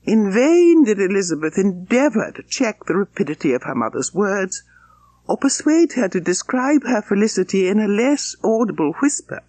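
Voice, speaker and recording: Expressive, husky male audiobook narrator. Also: high-pitched, no echo.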